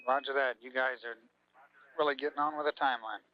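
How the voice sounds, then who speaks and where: A man works as a mission control radio operator, speaking in short, courteous procedural phrases at a middling pitch. His voice comes through a dull, lo-fi 1980s radio link. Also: steady tone, brisk. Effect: radio transmission. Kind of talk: procedural spaceflight radio chatter.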